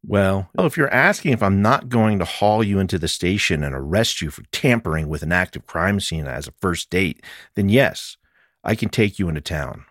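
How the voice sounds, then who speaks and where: A man's voice: deep voice, expressive, normal speed, a bit bright, no echo, clean studio sound, pitched above the performer's own voice. Male narrator as tough, wry woman detective in a mystery audiobook, practical, dryly funny, caring underneath. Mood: dry, amused. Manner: matter-of-fact, dryly humorous.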